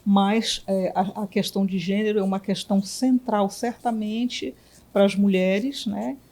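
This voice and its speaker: Woman, from Belém, doctor and union director, mellow warm voice, thoughtful, halting. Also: mid-range voice, steady tone. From Belém, Brazil. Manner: reflective, many fillers and restarts. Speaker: physician and union director, guest. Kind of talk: interview answers.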